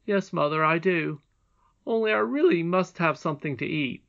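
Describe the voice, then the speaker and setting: A woman's voice: on the low side, normal speed, creaky, a bit bright, dull audio, voice pushed up. A woman voicing a brave, humble young miner boy in a storybook, frank, earnest and emphatic. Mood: hungry, insistent.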